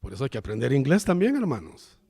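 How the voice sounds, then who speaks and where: A man's voice: mid-range voice, huge pitch swings, quick pace, gravelly, dark tone, husky. A man from El Salvador with a Salvadoran Spanish accent, preaching a chatty sermon in a church and often addressing the listeners as brothers. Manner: chatty, keeps calling the listeners "hermano". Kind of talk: conversational sermon.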